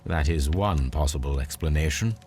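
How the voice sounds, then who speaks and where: An actor playing a composed, analytical Victorian English gentleman detective in a video game, musing precisely and deliberately. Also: rumbling low, faint music behind.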